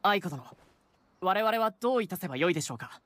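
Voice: mid-range voice, expressive, fast, fairly bright tone, no echo, lightly acted. An actor playing an earnest, polite young samurai boy apprentice in a game, speaking formally. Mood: worried. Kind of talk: samurai game drama acting.

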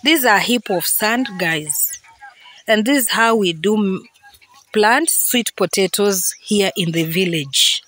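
A middle-aged woman with a Kenyan English accent, slowly explaining farm work step by step in an outdoor vlog filmed on a handheld phone. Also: mid-range voice, a bit bright. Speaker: vlogger. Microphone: handheld phone camera.

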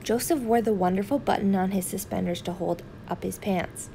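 Mellow woman reading a children's story. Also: mid-range voice, normal speed, gravelly, slight background noise. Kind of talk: read-aloud picture book narration.

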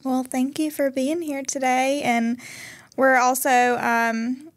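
A woman's voice: high-pitched, flat delivery, takes it slowly. A young woman co-hosting a podcast, asking questions in a conversational style. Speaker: podcast co-host. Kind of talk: conversational interviewing. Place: small indoor podcast studio. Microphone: boom-arm podcast microphone.

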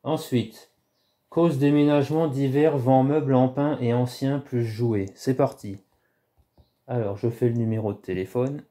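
Guy in his 30s rambling into his phone at home, really dark mellow voice, lots of ums and restarts. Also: mid-range voice, steady tone. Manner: rambling, halting, many restarts and fillers. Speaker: YouTuber doing a prank call. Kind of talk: casual vlog to camera. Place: small room indoors at home. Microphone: phone camera held low at arm's length.

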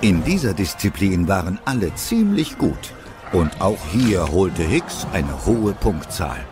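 A man's voice: deep voice, big pitch swings, takes it slowly, over music. A man narrating a scripted story as the narrator of an audio drama.

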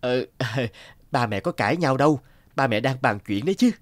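A man's voice: mid-range voice, expressive, normal speed, noisy background, close to an everyday voice. Shifty but loving thief father, acted by a man. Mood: nervous, evasive. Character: shifty, guilty young father and petty thief, fond of son. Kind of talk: cartoon voice acting. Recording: music bed behind the voice.

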